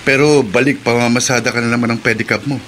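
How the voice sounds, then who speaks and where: A man's voice: medium pitch, fairly even intonation, quick pace, a bit bright, a little hiss or hum, close to an everyday voice. A man playing an inquisitive, concerned middle-aged friend in a radio drama, with a Filipino accent.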